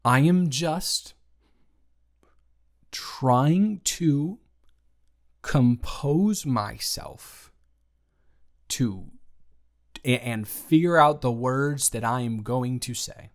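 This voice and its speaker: Man, roleplay audio, slow and measured, soft-spoken, reassuring, mid-pitched voice. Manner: slow, careful, measured speech with pauses. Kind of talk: improvised roleplay audio, gentle rejection.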